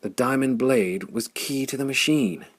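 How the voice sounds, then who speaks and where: A man reading pulp adventure fiction as an audiobook narrator, with a dramatic, intense delivery and a gravelly, husky voice. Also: mid-range voice, measured pace.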